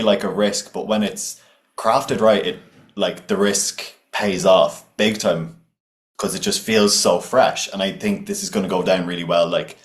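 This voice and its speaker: A man hosting an interview, asking questions with enthusiasm and praise. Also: on the low side. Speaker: interviewer host. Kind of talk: casual interview chat. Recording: roomy echo.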